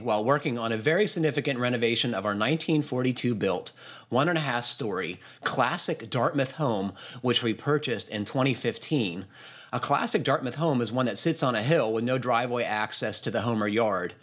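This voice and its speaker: Guy hosting a podcast over video call, mid pitched voice, chatty, sometimes reading stuff out. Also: fairly bright tone. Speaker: podcast host. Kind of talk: casual chat and reading a listener email aloud. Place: indoor room on a video call.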